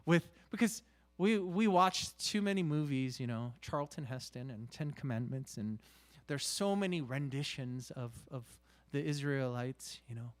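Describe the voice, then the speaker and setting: A man preaching in a conversational style, halting and repeating himself, with rhetorical questions and emphatic phrases that drop into hushed asides. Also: medium pitch. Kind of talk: conversational sermon.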